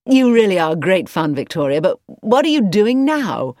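A woman's voice: medium pitch, very animated, normal speed, darkish tone, dry recording, studio quality, pitched above the performer's own voice, lightly acted. A woman voicing a cheerful, admiring young man who exclaims eagerly.

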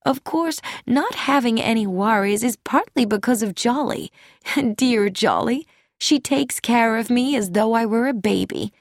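Woman doing a soft sweet old lady, higher voice, musical and calm, storybook reading. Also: a little slow, no room sound, clean studio sound. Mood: gentle. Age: older. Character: gentle elderly woman, serene and sweet. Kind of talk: audiobook character voice.

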